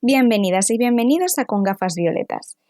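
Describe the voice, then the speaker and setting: A woman with a Spain Spanish accent and a slightly raspy voice, congested from a mild cold, presenting as a podcast host. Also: fairly high pitch, lively intonation, brisk, a little mellow, dry recording, studio quality. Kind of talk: scripted narration with a casual introduction.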